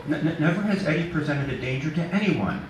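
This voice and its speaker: A man's voice: fairly high pitch, big pitch swings, quick pace, distant mic, voice pushed up. A man voicing a hulking but timid, effeminate tailor father who stammers and protests formally and deferentially. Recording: roomy, noisy bookstore reading from off the mic.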